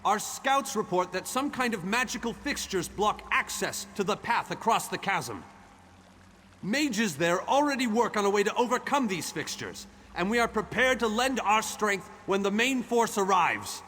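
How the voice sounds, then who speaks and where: In an echoing hall with faint noise behind, a haughty game archmage. His voice is high, bright and very animated, pompous and brisk like someone used to command. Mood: brisk, self-assured. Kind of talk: dramatic fantasy game dialogue. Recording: reverberant hall with background noise.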